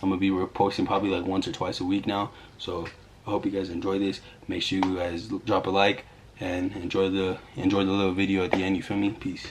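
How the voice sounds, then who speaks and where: A man's voice: deep voice, completely flat delivery, a little fast, noisy background. A young man telling a story in a casual, slangy vlog style with music behind him. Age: young adult. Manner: slangy, conversational. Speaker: YouTuber telling a story to camera.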